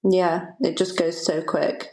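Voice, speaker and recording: A woman in her thirties chats casually as a podcast guest, her softened Liverpool accent coming through. She rambles and laughs often, and her voice sits a little low. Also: little pitch movement, slow pace, vocal fry, low-quality recording. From Liverpool, England. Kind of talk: casual chat.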